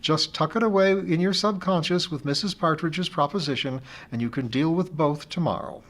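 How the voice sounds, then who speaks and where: A man voicing a shrewd, affectionate woman friend who talks briskly on the telephone. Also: fairly high pitch, fairly bright tone, pitched above the performer's own voice, close to an everyday voice. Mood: brisk, kindly.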